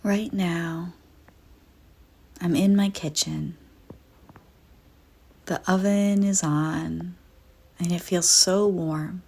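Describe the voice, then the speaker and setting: Female meditation narrator: very slow, breathy and husky, soft soothing delivery, unhurried pauses. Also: on the low side, fairly even intonation. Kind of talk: guided visualization meditation, scripted first-person narration.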